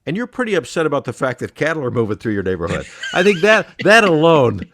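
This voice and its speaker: A man's voice: fairly high pitch, very animated, fast talker, a bit bright, a little hiss or hum. A middle-aged man co-hosting a podcast, bantering satirically in a wry, mock-serious way. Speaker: podcast co-host.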